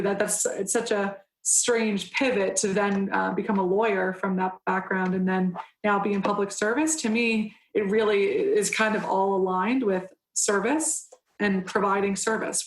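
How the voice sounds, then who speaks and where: A young adult woman council member answering interview questions in an earnest, measured way, with frequent pauses and restarts. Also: medium pitch, reverberant, poor audio.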